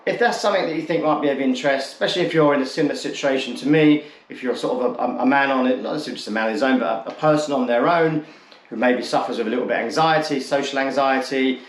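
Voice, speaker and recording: A man in his late forties speaks casually to his viewers in a medium-pitched voice. He is candid and loose, often circling back to reword what he has said. The recording has a clear room echo. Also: racing. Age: late 40s. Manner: candid, rambling, repeats and rephrases himself. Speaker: vlogger introducing his channel. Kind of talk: casual talk to camera. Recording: echoey untreated room.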